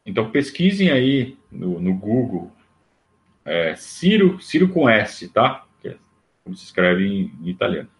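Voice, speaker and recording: A man's voice: on the low side, sing-song, a little slow. A man, talking casually and at length in a rambling livestream monologue, full of restarts and fillers. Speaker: livestream host. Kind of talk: casual monologue on club history.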